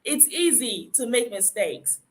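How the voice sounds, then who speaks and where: A woman's voice: a little high, very animated, unhurried. A woman with a Nigerian accent giving an encouraging phonics lecture, stressing rules and repeating key points. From Akwa Ibom, Nigeria. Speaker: phonics teacher. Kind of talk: conference lecture.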